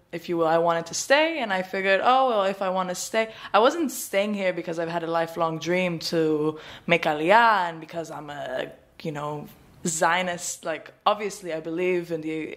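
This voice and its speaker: A woman answering interview questions in a rambling, thinking-aloud way with many fillers. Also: on the low side. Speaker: graduate student guest.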